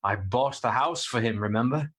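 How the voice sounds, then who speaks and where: A man's voice: mid-range voice, moderate pace, fairly bright tone, natural voice. Guy as a practical earnest solicitor, medium voice, matter of fact, radio drama. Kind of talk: radio play acting.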